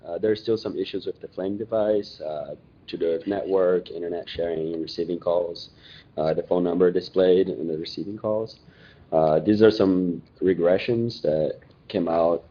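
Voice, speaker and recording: A man with a raspy, hoarse voice from a cold, giving a halting update with many fillers in a casual meeting. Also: low voice, muffled recording. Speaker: Firefox OS support contributor.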